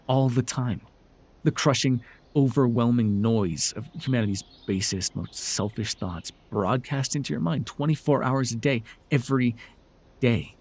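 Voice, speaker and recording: A man's voice: medium pitch, a little slow, a little mellow, slightly rough. A man playing a sardonic, telepathic supervillain in a dramatic audio roleplay, with a theatrical, taunting way of talking. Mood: dawning sympathy. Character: sardonic male telepathic supervillain, menacing yet curious. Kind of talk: dramatic ASMR roleplay acting.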